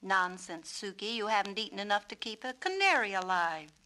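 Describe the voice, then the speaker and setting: A woman voicing a practical, no-nonsense mother in a storybook, brisk and firm. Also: mid-range voice, sing-song, bright, forceful tone.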